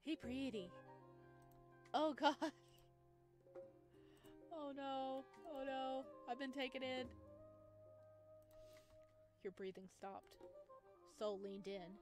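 A woman streaming a visual novel, reading the game text aloud and breaking in with expressive, exclaiming reactions. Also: high voice, very slow, pauses often, music in the background. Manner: expressive, exclaiming in bursts between read passages.

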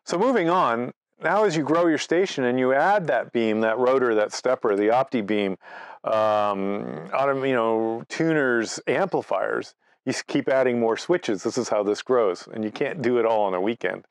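Male presenter, 60s. Conversational explainer, medium pitch, dry close sound. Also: normal speed, no room sound. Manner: conversational, explains through step-by-step examples and anecdotes. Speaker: presenter, amateur radio operator. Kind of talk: informal instructional presentation. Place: outdoors in front of leafy trees.

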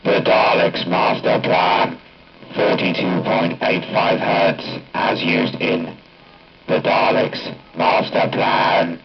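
A man speaking through a Dalek-style ring-modulator robot effect, announcing test settings in a clipped, deliberate way. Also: low-pitched, completely flat delivery. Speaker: hobbyist demonstrating a voice changer. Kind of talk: product test demonstration. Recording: dull lo-fi capture from amplifier speaker.

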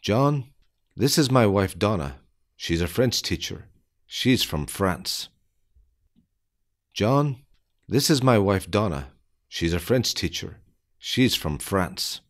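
A man reading an English listening quiz for learners, with deliberate, evenly spaced phrasing. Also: fairly low pitch, big pitch swings. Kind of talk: slow scripted quiz reading.

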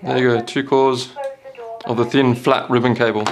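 A man's voice: mid-range voice, little pitch movement, normal speed, crowd noise. A man giving an instructional product demonstration as a company presenter. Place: small indoor meeting room. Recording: clearly noisy room recording.

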